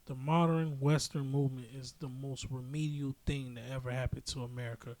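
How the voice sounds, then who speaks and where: A man's voice: medium pitch, fairly even intonation, brisk, a little mellow. A man giving casual, opinionated podcast commentary as a host, speaking bluntly and emphatically with frequent swearing.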